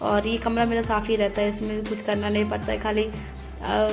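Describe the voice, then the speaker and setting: A young woman homemaker narrating her daily chores in a steady, matter-of-fact voice-over, step by step. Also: mid-range voice, normal speed, gravelly. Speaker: homemaker vlogger. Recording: voice-over with loud background music.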